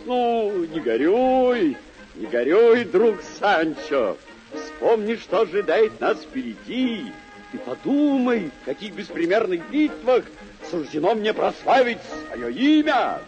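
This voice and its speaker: An actor playing a deluded, gallant old knight-errant in a radio play, declaiming in stately, drawn-out phrases. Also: male voice, sits high, sing-song, a little mellow, soft background music, heavily acted. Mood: lofty, consoling. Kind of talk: vintage radio play acting. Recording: dull old radio recording.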